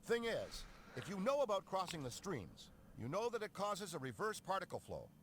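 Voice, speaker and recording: An actor playing a wry, money-minded ghost hunter in a video game, with sly, deadpan salesman patter. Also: high voice, normal speed, slight background noise, exaggerated voice. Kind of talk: scripted game character dialogue.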